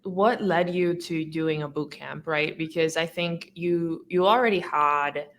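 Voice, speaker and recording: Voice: mid-range voice, measured pace, fairly bright tone, a little hiss or hum. An upbeat, encouraging interview host who asks questions casually, with fillers.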